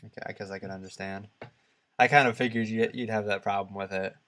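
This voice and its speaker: A man co-hosting a casual gaming podcast, talking in a halting, rambling and opinionated way. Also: fairly low pitch, fairly even intonation, fairly bright tone. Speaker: podcast co-host.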